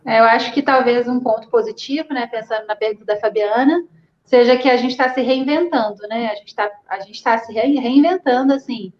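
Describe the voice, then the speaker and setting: A woman with a Minas Gerais accent speaks in a high voice, as a physical education lecturer on a live online panel. She talks animatedly and turns to the audience often. The sound is dull and lo-fi, like a video call. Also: brisk. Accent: Minas Gerais Portuguese. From Minas Gerais, Brazil. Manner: animated, addresses the audience directly. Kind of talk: casual online panel discussion.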